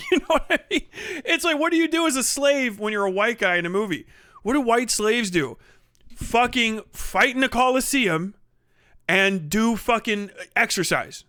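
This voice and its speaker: A man doing a casual, profane comedic rant on a livestream, animated and slipping into mocking impressions. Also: very high-pitched, normal speed, no room sound, studio quality. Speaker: streamer.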